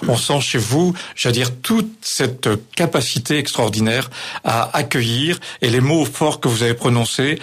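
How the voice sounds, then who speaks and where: A man's voice: mid-range voice, moderate pace, fairly bright tone, slightly rough. A male radio host interviewing guests, speaking in an effusive, flowery way.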